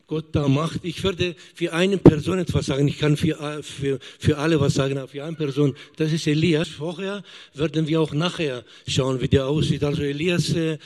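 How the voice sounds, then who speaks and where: A man in his fifties, a missionary giving a halting testimony as a church guest and often searching for words. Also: on the high side, darkish tone. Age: 50s.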